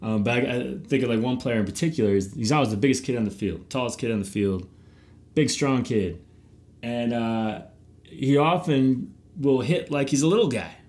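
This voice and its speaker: A man's voice: mid-range voice, moderate pace, darkish tone. A middle-aged man, host of a podcast and youth baseball coach, talking casually and animatedly, often repeating words as he starts a thought.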